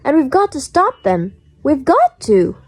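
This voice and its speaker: A woman's voice: high-pitched, wildly swooping pitch, a little slow, heavily acted. A young woman in an amateur radio play, playing an anxious, demanding invalid wife with a fretful, insistent manner. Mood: horrified, urgent. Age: young adult.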